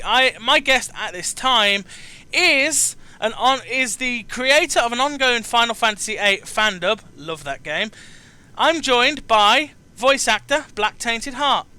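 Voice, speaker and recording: Male chat host, very bright and forceful, high-pitched, halting but emphatic. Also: smooth. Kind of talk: online interview.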